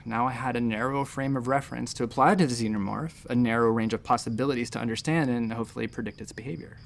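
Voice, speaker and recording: A man's voice: medium pitch, fairly even intonation, fast, creaky, close to an everyday voice. A man playing a nervous space-station doctor who talks in a hesitant, rambling, self-deprecating way in a sci-fi audio drama. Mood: nervous, wry. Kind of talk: sci-fi audio drama acting, conversational first-person storytelling.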